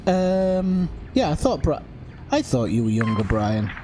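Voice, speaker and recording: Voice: mid-range voice, big pitch swings, a little slow, dark tone, slight background noise. A livestream host chatting casually with friends while playing, apologetic and thinking aloud with fillers. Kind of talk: casual gaming chat with friends.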